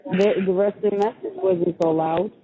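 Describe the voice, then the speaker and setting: A woman's voice: medium pitch, moderate pace, noisy background. A woman chatting casually on a personal phone call. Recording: tinny phone line with background voices.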